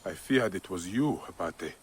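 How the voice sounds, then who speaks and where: An actor playing a grim, solemn Egyptian warrior in a video game cutscene. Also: male voice, deep voice, expressive, normal speed, slight background noise. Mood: grim, resolute. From Siwa, Egypt (in-story). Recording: game music behind the voice.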